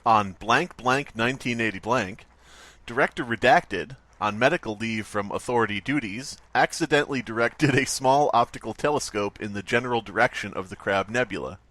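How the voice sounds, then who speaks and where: A man's voice: mid-range voice, moderate pace, a bit bright. A man co-hosting a casual podcast, joking and thinking aloud with fillers. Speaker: podcast co-host.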